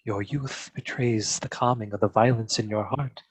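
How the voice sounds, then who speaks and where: Husky, pious Lord Inquisitor, played by a man. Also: on the low side, steady tone, moderate pace, dry recording. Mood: pious, pitying. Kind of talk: dramatic script table read.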